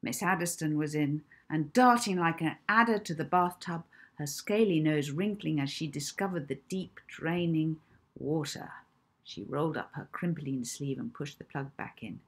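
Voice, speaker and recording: A woman in her fifties reading her own children's book aloud as an expressive, deliberate storyteller. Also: sits low, slightly rough. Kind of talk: children's audiobook narration. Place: small indoor room at home.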